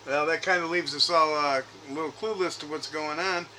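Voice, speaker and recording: A man with a slightly high, bright and forceful voice talks informally on a call. He is insistent and keeps pushing with questions. There is faint noise on the makeshift recording. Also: steady tone, moderate pace, low-quality recording. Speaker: caller, retail investor. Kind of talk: informal phone conversation. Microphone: makeshift recording device grabbed during call.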